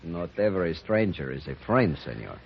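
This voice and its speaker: An actor playing a courteous, slyly menacing town mayor with a Mexican accent in an old radio western. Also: male voice, deep voice, sing-song, heavily acted. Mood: courteous, guarded. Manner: formal, measured courtesy. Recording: muffled vintage radio with clear noise.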